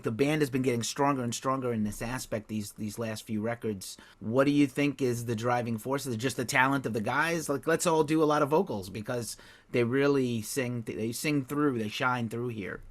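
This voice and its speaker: A man's voice: mid-range voice, fairly quick, a bit bright. A man hosting an interview, asking casual questions in an enthusiastic, loose way full of fillers. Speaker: interview host.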